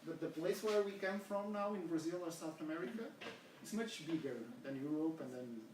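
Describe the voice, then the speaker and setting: Portuguese guy presenting research to a small group, kinda high voice, roomy echo, faint hiss. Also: fairly quick. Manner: earnest, poses questions to the audience. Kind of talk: academic seminar presentation. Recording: echoey room, from far off, faint hiss.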